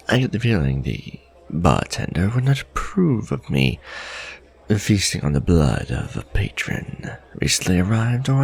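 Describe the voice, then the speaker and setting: Very deep, pompous vampire lord. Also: male voice, gravelly, breathy edge, exaggerated voice. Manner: formal, pompous, measured diction. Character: imperious male vampire lord, courtly, menacing, doting on companion. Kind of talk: romantic gothic ASMR roleplay acting.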